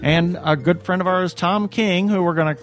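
A man's voice: high-pitched, steady tone, normal speed, soft background music. A man co-hosting a podcast, making announcements in a casual, chatty style. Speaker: podcast co-host.

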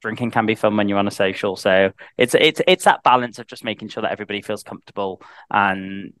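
A man's voice: lowish voice, fast talker, fairly bright tone, clear, resonant tone, dry recording. A man with a Northern English accent, a guest on a podcast, talking in a chatty, rambling way with many restarts. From Northern England. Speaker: guest, head of sales. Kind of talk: casual podcast chat.

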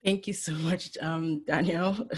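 A woman's voice: lowish voice, slow, husky. Giggly woman hosting a chat. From Nigeria. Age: 20s. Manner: giggly, laughs between lines. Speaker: podcast host. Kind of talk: casual group chat.

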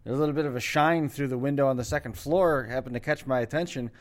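A man's voice: mid-range voice, fast. Man telling a rambling home-repair story. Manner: rambling homeowner storytelling, many restarts. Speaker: podcast co-host. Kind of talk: casual podcast chat.

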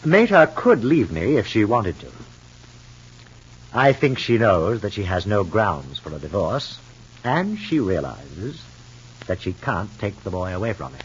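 An actor playing a guarded, bitter husband in an old radio soap opera, speaking in a measured, formal way. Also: male voice, fairly low pitch, sing-song, slightly rough, full character voice. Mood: coldly resolute. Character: guarded, bitter husband, coolly suspicious of his wife. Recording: muffled, lo-fi old radio recording.

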